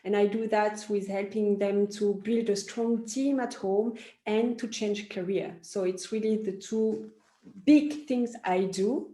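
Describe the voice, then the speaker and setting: A woman's voice: medium pitch, mellow. A woman hosts a live online interview in a conversational way, as a parenting coach. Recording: roomy, echoey live call.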